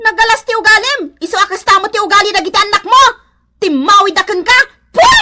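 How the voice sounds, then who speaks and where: A woman's voice: very high voice, fast, pushed and bright, full character voice. A woman playing a combative, protective mother in a radio comedy drama, scolding and taunting. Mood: shrill scolding. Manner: scolding, taunting, spitting insults. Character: hot-tempered, combative village mother, shrill and protective of her son.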